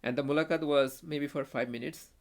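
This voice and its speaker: A young man from Bangladesh with a Bangladeshi accent, a native Bengali speaker, telling a story as a podcast guest in a halting way, full of restarts and fillers. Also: fairly high pitch, fairly even intonation. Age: young adult. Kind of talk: casual conversational storytelling.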